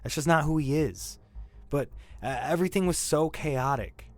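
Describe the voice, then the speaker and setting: An actor playing a shaken young male robbery suspect in an audio drama, defensive and grieving, halting and stammering as he talks. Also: a little high, no room sound, lightly acted. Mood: shaken recounting. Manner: halting, stammering, repeating himself. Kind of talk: naturalistic audio drama acting.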